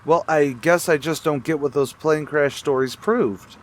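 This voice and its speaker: A man's voice: on the high side, unhurried, close to an everyday voice. A man acting as an easygoing, wry married amateur sleuth in a radio mystery drama. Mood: puzzled. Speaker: actor.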